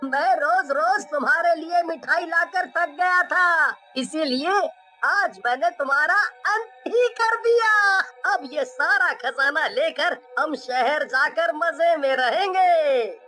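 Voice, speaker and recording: A woman's voice: sits high, slow, sharp tone, faint music behind, full character voice. A woman voicing an eager, greedy village husband with a coaxing manner in a cartoon story. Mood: triumphant. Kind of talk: animated storytelling.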